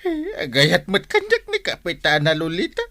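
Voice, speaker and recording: A man plays a middle-aged village captain in a barangay comedy, lovesick and easily flustered. His whiny, stammering delivery swoops widely in pitch, with a bright, sharp edge. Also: huge pitch swings, moderate pace, full character voice. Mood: tearful, pained. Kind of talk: Ilocano barangay comedy drama acting.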